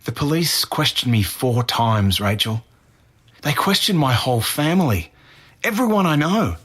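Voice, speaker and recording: A man's voice: mid-range voice, big pitch swings, unhurried, a bit bright. A man acting as a defensive Australian murder suspect in his twenties, speaking earnestly in blunt slang in an interview-style audio drama. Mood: defensive, insistent. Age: 20s.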